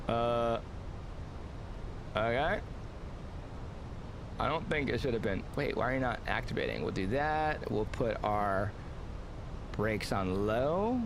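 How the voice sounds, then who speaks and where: A man commentates his flight-sim session in loose, rambling chat full of fillers. His pitch swings up and down as he talks. A steady engine-like rumble sits under the whole recording. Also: slow pace, long pauses. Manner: rambling, filler-heavy, excitable. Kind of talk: casual gameplay commentary.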